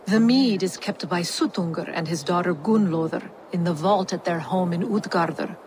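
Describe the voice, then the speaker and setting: An actress playing a cool, condescending giantess who bargains in a fantasy video game. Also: female voice, on the low side, unhurried, a little mellow, a little hiss or hum, lightly acted. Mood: cold. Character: cold, scheming giantess offering a deal. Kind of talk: video game cutscene acting.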